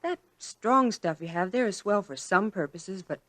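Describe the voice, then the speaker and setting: A woman's voice: medium pitch, expressive, moderate pace, fairly bright tone, no echo, natural voice. A woman playing a cheerful, confident housewife giving friendly advice in a 1940s radio commercial.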